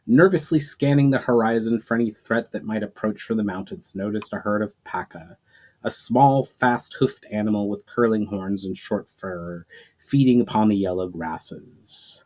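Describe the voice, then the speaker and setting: A man reading a story aloud as a narrator, expressive and deliberate in a dramatic storybook style. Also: on the low side. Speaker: author reading their own story aloud.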